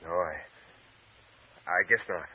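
An actor playing an uneasy impostor in an old radio drama, talking haltingly and huskily. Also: male voice, low-pitched, slight background noise. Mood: uneasy, apologetic. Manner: hesitant, halting. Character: uneasy male impostor posing as returning nephew. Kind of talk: 1940s radio acting. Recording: tinny old radio recording.

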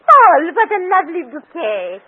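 Haughty female ballet star, high swooping voice, biting precise delivery, vintage radio drama. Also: huge pitch swings, normal speed, exaggerated voice. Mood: gracious. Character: exacting, haughty, sharp-tongued woman ballet dancer. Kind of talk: old-time radio drama acting. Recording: tinny band-limited old radio recording.

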